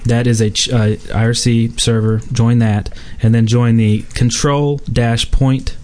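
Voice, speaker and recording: A man's voice: medium pitch, little pitch movement, measured pace, a little mellow. A man hosting a podcast, chatting casually and without a script about games. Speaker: podcast host. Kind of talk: casual unscripted gaming chat.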